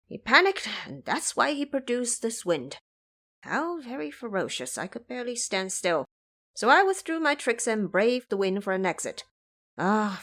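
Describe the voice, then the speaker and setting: A proud, battered monkey warrior from an audio drama, played by a woman. The voice is high and swoops with excitable, boastful exclamation. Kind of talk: audio drama character dialogue.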